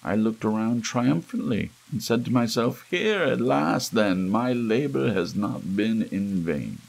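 A man with a gravelly, husky voice reads a horror story aloud in a theatrical, brooding ASMR audiobook style, with emphatic swells. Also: lowish voice, expressive, unhurried. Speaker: audiobook reader. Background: soft rain.

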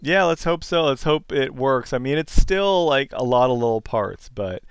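A man giving a casual, easygoing software tutorial as host, thinking aloud as he goes. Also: mid-range voice, animated, moderate pace, clean tone, dry recording. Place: indoors at a computer, screen-recorded demo. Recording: music bed playing behind the voice. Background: music.

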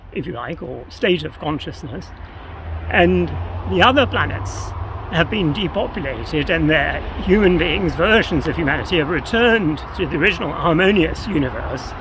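A man's voice: on the high side, a bit bright, poor audio. An older man being interviewed outdoors, explaining ideas in a reflective, tentative, philosophical way. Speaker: interview guest. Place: outdoors on open grassland by a path. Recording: outdoor recording with clear ambient noise.

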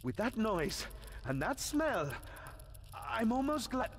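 A man's voice: high voice, big pitch swings, slow, a little hiss or hum, exaggerated voice. A man playing a whiny, wisecracking, easily flustered adventurer's companion in a video game, with theatrical, hesitant grumbling. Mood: uneasy, disgusted. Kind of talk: animated video game quest dialogue. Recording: long cavernous reverb, music behind.

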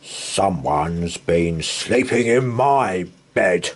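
A big father bear growls each word slowly and heavily, as a man puts him on. The voice is low and husky, a gruff storybook papa bear. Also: animated, heavily acted. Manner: growling, slow.

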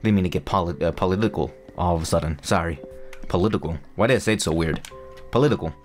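A man's voice: low-pitched, fast talker, faint music behind. A young man, not a native English speaker, chatting loosely over his gameplay with lots of fillers and restarts. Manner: rambling, self-mocking, full of fillers and restarts. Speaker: gaming YouTuber. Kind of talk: casual gameplay commentary.

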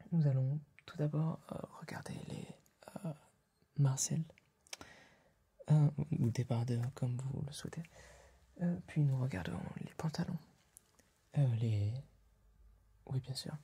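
A man's voice: medium pitch, slow, long pauses, warm tone, breathy edge. A young man in a whispered ASMR roleplay, speaking breathily and hesitantly as a polite, fussy tailor with a client. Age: young adult. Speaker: ASMR performer playing a tailor. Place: small room dressed as a tailor's workshop. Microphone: close ASMR microphone.

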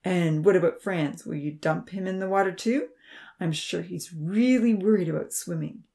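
A taunting young man from a storybook, played by a female narrator. The voice sits low with a gravelly edge. He scoffs and mocks, sure of himself. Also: moderate pace, mellow. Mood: mocking, scoffing. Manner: scoffing, mocking.

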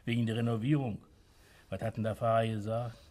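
An actor playing a familiar, chatty pub landlord with colloquial speech in a German radio play. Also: male voice, lowish voice, little pitch movement, a little fast, darkish tone, lightly acted. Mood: obliging.

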